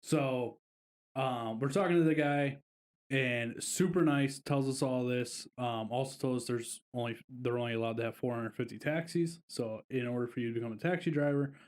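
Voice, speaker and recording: A man with a mid-range voice chats on a relaxed podcast. He wanders through a travel story at an easy pace, leaning on filler words as he goes. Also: medium pitch, normal speed. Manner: rambling, easygoing storyteller with frequent fillers. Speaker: podcast co-host. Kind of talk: casual podcast chat.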